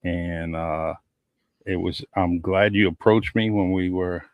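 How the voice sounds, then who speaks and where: A man hosting his own podcast in a loose, rambling way, doubling up words as he goes. His voice sits very low, and the recording is dry and close, with no room sound. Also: fairly even intonation, slow pace, no echo, narrow, tinny audio. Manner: warm, rambling, repeats words, many "um"s. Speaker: podcast host. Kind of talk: casual interview chat.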